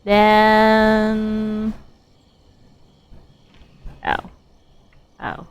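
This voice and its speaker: A woman game streamer giving casual live commentary, thinking aloud in a halting way. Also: mid-range voice, monotone, smooth.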